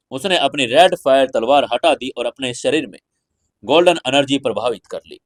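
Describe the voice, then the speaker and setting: An Indian man reads a scripted fantasy audio story in a theatrical, deliberate storytelling manner. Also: medium pitch, dry recording, studio quality. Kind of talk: dramatic scripted fantasy narration.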